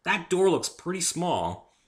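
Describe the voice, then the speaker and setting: A man voicing a kindly, wary human man in a story reading, gentle and apologetic in manner. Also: high-pitched, big pitch swings, takes it slowly, voice pushed up, exaggerated voice. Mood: wary, exasperated. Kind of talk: dramatic reading of fan fiction.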